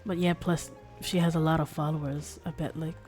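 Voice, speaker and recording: A woman streamer chatting casually as she games, in a halting, rambling way, with music behind her. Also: sits low, little pitch movement, a little mellow. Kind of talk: casual livestream chat while gaming.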